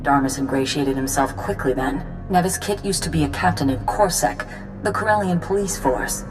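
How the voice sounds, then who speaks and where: An actress playing a composed military commander in a video game, formal, measured and authoritative. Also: female voice, sits low, close to an everyday voice. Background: game music.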